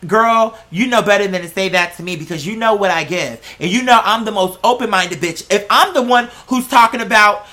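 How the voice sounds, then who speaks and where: An online creator with a bright, forceful, mid-pitched voice ranting casually to viewers. The delivery is sassy and emphatic, full of slang and swearing. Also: fairly quick, hardly any pauses. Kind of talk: casual opinionated rant to viewers.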